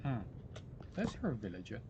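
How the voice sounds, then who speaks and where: A man's voice: mid-range voice, huge pitch swings, brisk, dull audio, noisy background. Chatty British man streaming a game. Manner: chatty, greets viewers by name, goofy. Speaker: livestream gamer. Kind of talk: casual live-stream chat while gaming.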